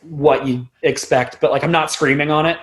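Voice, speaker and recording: A man's voice: fairly high pitch, fairly even intonation, moderate pace, fairly bright tone. A young adult man talking as an interview guest in a casual, rambling, informal way, with some loose swearing. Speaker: band frontman, podcast guest.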